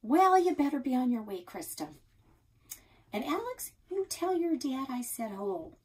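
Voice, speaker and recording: A woman's voice: sits high, big pitch swings, normal speed, darkish tone, breathy edge, voice pushed up, heavily acted. An American woman voicing a chatty, gossipy small-town grandmother who runs a shop, in a storybook read-aloud. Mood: flustered.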